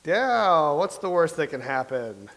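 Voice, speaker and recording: A man's voice: fairly high pitch, very animated, unhurried, fairly bright tone, exaggerated voice. An actor playing a vain, anxious male playwright who rambles nervously in a staged comedy reading. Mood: rambling. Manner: rambling, nervous, self-pitying. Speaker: playwright character.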